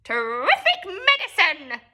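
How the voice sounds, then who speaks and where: A woman voicing a wild, screechy old grandmother who shouts gleefully and drags out her words. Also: extremely high pitch, wildly swooping pitch, slow, voice pushed up, exaggerated voice. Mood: gleeful shouting.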